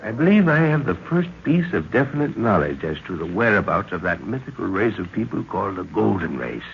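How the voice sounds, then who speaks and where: A man's voice: fairly low pitch, sing-song, brisk, vocal fry, a little hiss or hum. An actor playing an older professor and explorer, fatherly and earnest, speaking formally in an old-time radio drama. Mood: fatherly, intent. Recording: dull vintage radio recording.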